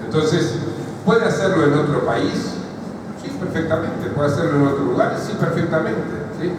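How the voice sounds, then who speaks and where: A man's voice: fairly high pitch, moderate pace. A man with an Argentine accent giving a psychoanalysis seminar, explaining points and checking in with the audience. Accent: Argentine Spanish. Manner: explanatory, keeps asking "¿sí?" and "¿está?" to check in. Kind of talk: seminar lecture answering a question. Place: large university lecture hall. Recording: echoey hall from far off, noisy.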